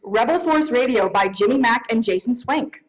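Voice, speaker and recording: A woman's voice: fairly high pitch, expressive, normal speed, rough recording. A woman co-presenting awards live on stage in a playful, chatty, conversational way.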